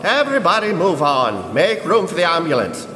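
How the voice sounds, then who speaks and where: A man's voice: on the high side, wildly swooping pitch, moderate pace, pushed and bright, exaggerated voice. An actor playing a folksy working-class policeman barking orders in a live radio drama. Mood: brisk, commanding. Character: gruff, bossy working-class street policeman clearing a crowd. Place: church hall stage. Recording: echoey hall, crowd noise behind.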